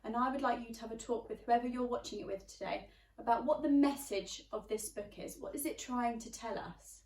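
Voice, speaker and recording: A woman's voice: fairly high pitch, a little fast, darkish tone, a little hiss or hum. A woman teacher reading a children's storybook aloud, expressively addressing young listeners. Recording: roomy, slightly distant microphone.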